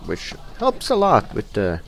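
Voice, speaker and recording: A man's voice: lowish voice, huge pitch swings, a little slow, gravelly. A young man with a Norwegian accent casually commenting on a computer game, hesitant and full of fillers as he reads the screen. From Norway. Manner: hesitant, many uh fillers, reading in-game text aloud. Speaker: let's play host.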